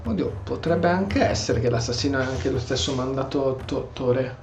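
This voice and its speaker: A man's voice: mid-range voice, fairly quick, vocal fry, reverberant. A man with an Italian accent giving casual gameplay commentary, thinking aloud and often repeating himself. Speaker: gamer commentator. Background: game music.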